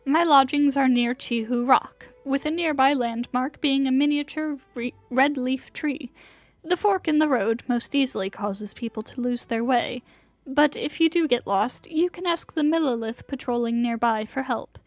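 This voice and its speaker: High, lofty, refined immortal woman. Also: normal speed, no room sound, soft background music. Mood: gracious, formal. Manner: formal, lofty, old-fashioned phrasing. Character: refined immortal woman inventor, proud, formal, motherly. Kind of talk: video game voice acting.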